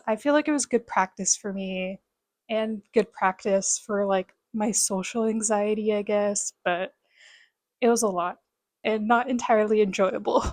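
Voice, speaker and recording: A woman's voice: mid-range voice, no echo. A young woman chatting casually as a book vlogger, rambling with lots of fillers. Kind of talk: casual chat to camera.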